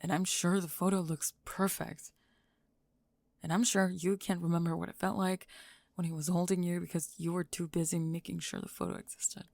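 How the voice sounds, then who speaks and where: Actress as perceptive, blunt best friend, a teenage girl in an ASMR roleplay. Measured, gravelly edge, close dry sound. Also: fairly low pitch, dry recording. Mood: blunt, confronting. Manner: frank, measured, calm. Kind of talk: ASMR roleplay audio drama.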